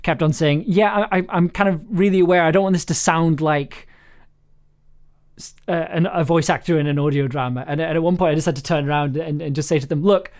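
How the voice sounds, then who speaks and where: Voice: medium pitch, steady tone, a little fast, a little mellow. A chatty producer who giggles and rambles while casually directing a voice actor on a livestream.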